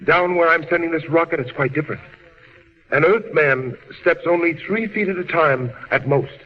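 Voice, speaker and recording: A man's voice: a little high, moderate pace, natural voice. A man acting in a 1940s radio drama, playing an earnest alien scientist and father facing doom, grave and theatrical. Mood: grave, reassuring. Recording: dull, very noisy old radio recording.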